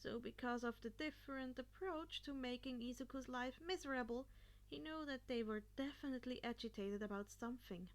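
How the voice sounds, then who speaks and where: A woman's voice: high-pitched, fairly quick. A woman reading a story aloud as a podfic narrator, occasionally stumbling and repeating a phrase.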